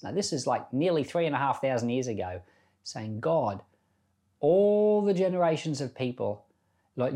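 A middle-aged man with a medium-pitched voice teaches from the Bible. He speaks earnestly and conversationally, setting out his phrases with deliberate care as he reads and comments. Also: darkish tone. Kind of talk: Bible reading with devotional commentary.

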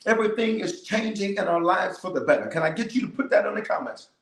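A man's voice: high-pitched, animated, fast talker, a bit bright, roomy sound. A man, a bishop, leading a livestreamed prayer with fervent, repetitive declarations and calls to the viewers. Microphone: cameras in front of him.

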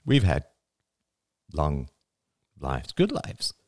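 Man acting a tearful, halting elderly father. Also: extremely low pitch, huge pitch swings, a little mellow, no room sound, studio quality, exaggerated voice. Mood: tearful, pleading. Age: older. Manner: halting, sighing, breaking into sad laughter. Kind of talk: dramatic audiobook dialogue.

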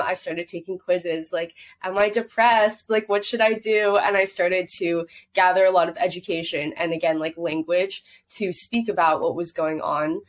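A young woman in her twenties who grew up in Hong Kong, chatting openly as a podcast guest with lots of "like" fillers. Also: fairly low pitch. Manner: chatty, heavy use of "like", laughs easily. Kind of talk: casual interview chat.

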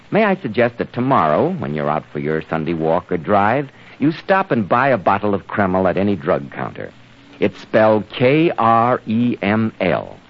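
A man announcing in 1940s radio style, reading a scripted sales pitch in a polished, emphatic, persuasive way. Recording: dull 1940s radio recording.

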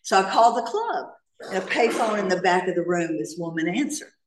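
A woman's voice: mid-range voice, lively intonation, brisk, far from the mic, a little hiss or hum. A woman from North Carolina, telling her own recovery story with humor to a meeting. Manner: humorous storytelling, laughs often. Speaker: AA meeting speaker. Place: church meeting hall. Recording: echoey hall, speaker off-mic.

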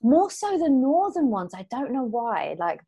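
A woman in her thirties co-hosting a casual chat, animated and playfully protesting, calling her friend "babe.". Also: high-pitched, unhurried, slightly rough. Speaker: podcast co-host.

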